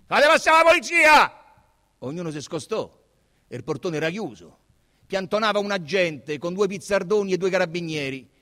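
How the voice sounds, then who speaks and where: A man narrating a literary text theatrically, with solemn, deliberate, dramatic phrasing. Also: high voice, expressive. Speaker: narrator and actor.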